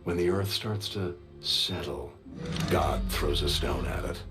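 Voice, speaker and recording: An actor playing a cold, grandiose film villain, lecturing in a portentous manner. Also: male voice, a bass voice, a little slow, over loud music. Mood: ominous.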